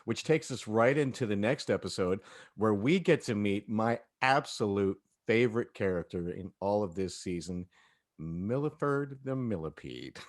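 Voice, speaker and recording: Guy with a low voice, hosting a casual group chat, pretty into it. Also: lively intonation, moderate pace. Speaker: host of a cast talkback. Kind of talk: casual panel discussion.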